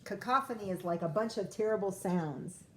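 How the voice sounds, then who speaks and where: A woman teacher reading a picture book aloud to young children, pausing to ask questions and explain words. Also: medium pitch, a bit bright, slight background noise. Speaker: first grade teacher. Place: classroom or home, recorded for video.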